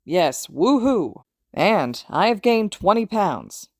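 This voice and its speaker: A woman's voice: mid-range voice, sing-song, slow pace, no echo, clean studio sound, voice pushed up. A woman voicing a genial older man, a mentor in an audiobook, hearty and slightly formal. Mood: proud.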